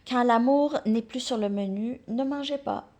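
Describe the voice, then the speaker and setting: A woman giving a casual tarot card reading. She thinks aloud, repeats words and drops into soft asides. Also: fairly high pitch, normal speed.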